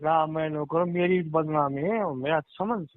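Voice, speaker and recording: A man's voice: on the high side, unhurried, no echo. Tinny phone-line man with Mewati accent. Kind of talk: casual private phone chat. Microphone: phone call recording.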